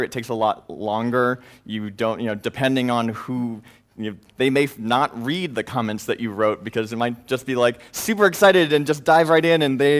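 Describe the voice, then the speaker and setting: A man presenting at a tech conference, answering audience questions informally in halting phrases peppered with filler words. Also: medium pitch. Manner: hedging, halting explanations peppered with "you know".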